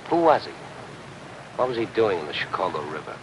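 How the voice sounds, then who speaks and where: Deep-voiced American hard-boiled reporter. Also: male voice, big pitch swings, a little fast, background noise. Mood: grim, brooding. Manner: terse, clipped phrasing. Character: world-weary, persistent male newspaper reporter. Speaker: lead actor. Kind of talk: 1950s crime drama acting, hard-boiled narration. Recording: 1950s TV film soundtrack, loud music.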